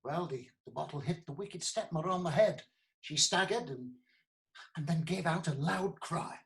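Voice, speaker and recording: A woman's voice: low-pitched, normal speed, vocal fry. A woman playing an earnest prosecuting lawyer in a comic courtroom radio play, formally and theatrically recounting a case. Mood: grave. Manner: formal, storytelling, deferential.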